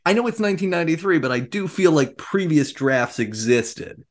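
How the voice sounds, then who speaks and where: A man co-hosting a casual film discussion, retelling things in an animated way with sarcastic asides and mock quotes. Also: on the high side, normal speed. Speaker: podcast co-host.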